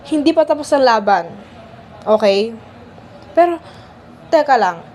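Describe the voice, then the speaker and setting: Jealous teen antagonist played by a woman, high-pitched, faint room noise, radio play. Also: expressive, measured pace, darkish tone. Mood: scheming, frustrated. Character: scheming, jealous high school girl villain. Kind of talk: amateur radio drama acting.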